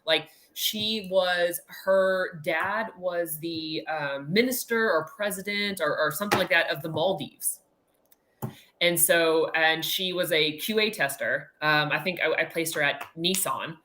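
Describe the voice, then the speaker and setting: A woman's voice: on the low side, a little slow, bright, forceful tone. A middle-aged American woman telling anecdotes casually as a podcast guest, with an emphatic way of talking. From United States.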